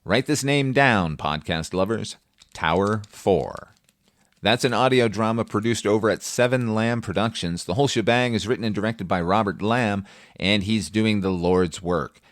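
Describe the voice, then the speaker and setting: A man's voice: fairly low pitch, moderate pace, no echo. A man hosting a film review podcast, talking conversationally with wry, profane, opinionated asides.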